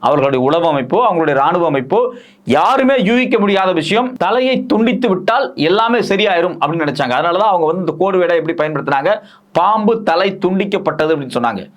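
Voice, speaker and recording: A man with an Indian Tamil accent delivers political news commentary in a fairly high-pitched voice. He explains point by point in an emphatic way, repeating key phrases and posing questions that he then answers himself. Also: expressive, fast talker.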